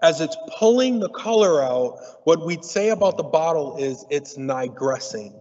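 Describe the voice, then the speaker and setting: A middle-aged man from Detroit giving an animated keynote talk from a stage, telling stories and drawing the audience in with questions. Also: fairly high pitch. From Detroit, USA. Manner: animated, playful, talks to the audience. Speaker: keynote speaker. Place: large auditorium stage. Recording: dull sound with long hall reverb.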